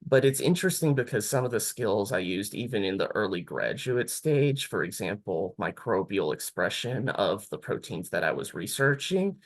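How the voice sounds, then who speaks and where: A man on a career panel, explaining his technical work in a measured way. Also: lowish voice, very animated. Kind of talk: panel talk.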